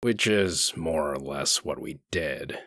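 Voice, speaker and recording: Man acting very deep, menacing enforcer. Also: slow, fairly bright tone, no room sound, studio quality, full character voice. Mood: cold, menacing. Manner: curt, threatening, coolly controlled. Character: gruff, menacing wolf sheriff in human form, cold and commanding. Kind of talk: comic dub voice acting.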